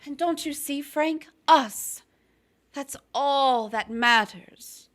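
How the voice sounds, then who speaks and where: A woman playing a seductive, manipulative lover in a live radio-style drama, coaxing and deliberate. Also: high-pitched, a bit bright. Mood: coaxing, insistent. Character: smart-talking, seductive, manipulative woman in love, plotting. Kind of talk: live stage radio-play acting.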